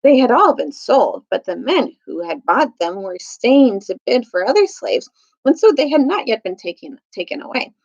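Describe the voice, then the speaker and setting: A woman's voice: a little high, big pitch swings, normal speed, a little mellow, lo-fi sound. A woman reading a children's storybook aloud as a storytime reader, sometimes stumbling over longer words.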